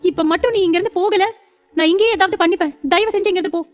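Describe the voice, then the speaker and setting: A woman's voice: very high voice, quick pace, darkish tone, pitched above the performer's own voice. A woman voicing a betrayed young wife in an audio drama, agitated, tearful and pleading. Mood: hurt, furious. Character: distraught, betrayed young wife, crying and accusing. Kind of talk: Tamil audio serial drama acting.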